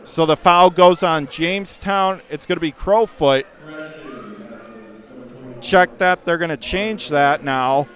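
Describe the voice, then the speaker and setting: A man with a high voice calls high school basketball play-by-play for radio. His calls come quick and jump to shouts when a big shot falls. He is courtside in a school gym. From Western New York.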